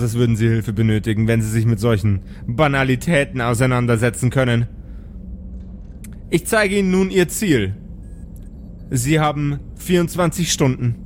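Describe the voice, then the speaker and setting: A man's voice: medium pitch, animated, slight background noise, natural voice. A man voicing a haughty, menacingly polite female megacorporation boss in an improvised audio drama, speaking formally and condescendingly. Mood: cold, commanding. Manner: formal, slow, condescending, drawn-out phrasing.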